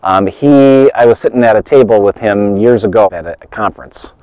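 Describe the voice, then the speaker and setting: A middle-aged male pastor delivers a sermon outdoors in an earnest, halting way, often restarting a phrase before pushing on with rising emphasis. His voice sits a little low and has a mellow, soft-edged tone. The sound is dry and close. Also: no echo, low-quality recording. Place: outdoors by a snowy lakeshore in winter.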